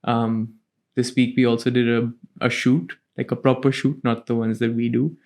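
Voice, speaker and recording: Brief: young male co-host, casual rambling chat, mid-pitched, teasing. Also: level tone, moderate pace, a little mellow. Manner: rambling, restarts phrases, teasing. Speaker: podcast co-host.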